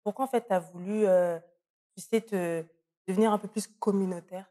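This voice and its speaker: A woman's voice: medium pitch, fairly even intonation, moderate pace, mellow. A woman hosting an interview, asking her questions in a playful, teasing way. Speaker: interviewer, host.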